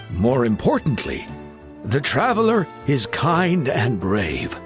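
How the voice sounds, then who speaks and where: A genial male storyteller from a video game, spins a tale with theatrical flair. His delivery is slow and measured, with big swings in pitch and deliberate pauses, over faint music.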